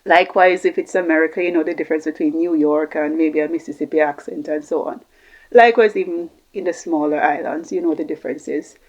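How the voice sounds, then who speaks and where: A woman's voice: deep voice, steady tone, fairly quick, a little mellow, poor audio. A woman giving an informal explanatory talk, reflective and repeating phrases for emphasis. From Jamaica, Caribbean. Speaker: host giving communication tips.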